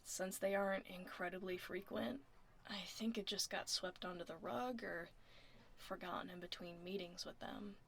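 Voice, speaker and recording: A woman playing a laid-back tomboy werewolf office admin in a soft, casual, wry ASMR roleplay. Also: fairly low pitch, steady tone, normal speed, gravelly. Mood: confiding, wry. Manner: casual, reassuring, wry, murmuring. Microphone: close binaural ASMR microphone. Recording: close binaural mic, faint background noise.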